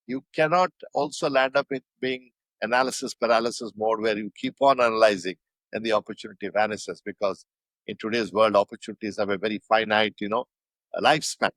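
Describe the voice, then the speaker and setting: Older Indian-accented man, lowish voice, dry close sound, reflective guest. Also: fairly even intonation, a bit bright, dry recording, low-quality recording. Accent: Indian English. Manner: measured, thoughtful, frequent fillers and restarts. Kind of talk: reflective interview answers.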